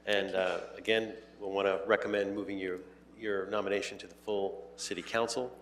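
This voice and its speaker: Low-voiced man chairing a hearing. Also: flat delivery, moderate pace, fairly bright tone, slight background noise. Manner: informal, repeats words, many uh fillers. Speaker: committee chair.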